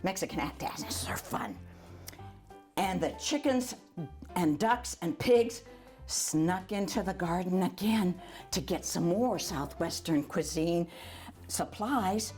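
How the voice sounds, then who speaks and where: An older woman reads a children's storybook aloud in an animated, chatty way, adding asides for young listeners. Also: fairly low pitch, takes it slowly, soft background music. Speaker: volunteer storyteller.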